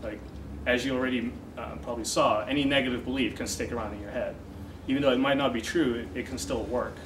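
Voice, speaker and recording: A young man who grew up in the Netherlands, a dating coach, explaining ideas in a relaxed interview with many fillers. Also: medium pitch, fast. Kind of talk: casual interview talk. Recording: echoey room from far off, noisy.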